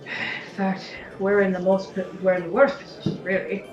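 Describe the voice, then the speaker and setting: A woman's voice: medium pitch, level tone, normal speed, recorded from a distance, natural voice. A woman acting as a cynical, ambitious merchant in an audio drama. The delivery is wry and confiding. The sound is roomy and slightly dull, with music underneath. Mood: bitter. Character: cynical, ambitious self-made merchant councillor. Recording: echoey, dull recording with light music.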